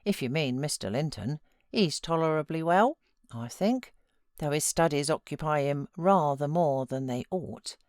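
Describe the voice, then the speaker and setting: Woman as a sensible, low-voiced female servant. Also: animated, a little slow, a little mellow, no room sound, studio quality. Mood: cool, measured. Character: sensible, unsympathetic female servant answering her mistress.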